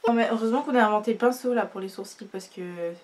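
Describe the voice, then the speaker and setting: A young woman with a stuffy nose from a cold, chatting casually through a makeup tutorial with many fillers and trailing off quietly. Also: a little high, fast talker. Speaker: beauty vlogger.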